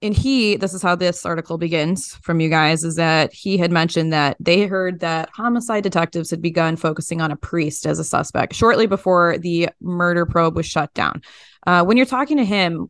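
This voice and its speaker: A woman's voice: on the low side, normal speed, dry recording. A woman hosting a true crime podcast, interviewing in a conversational style.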